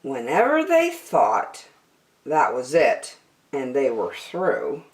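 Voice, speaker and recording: A woman reading a rhyming picture book aloud as a storytime host, expressive and theatrical, addressing young children. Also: a bass voice, slow pace. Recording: roomy, echoing sound.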